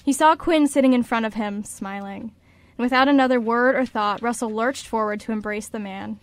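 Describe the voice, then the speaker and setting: Higher-pitched woman narrating, dry sound. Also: normal speed, no room sound. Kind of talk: scripted story narration for radio drama.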